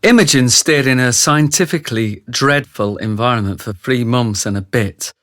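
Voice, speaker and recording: An actor playing a brutish ex-soldier who narrates a radio drama, speaking straight to the listener. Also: male voice, mid-range voice, moderate pace, a bit bright, no room sound, studio quality, natural voice. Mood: grave, confiding. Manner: grave, confiding, addresses the listener directly. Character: remorseful thick brute ex-soldier, male confessional narrator.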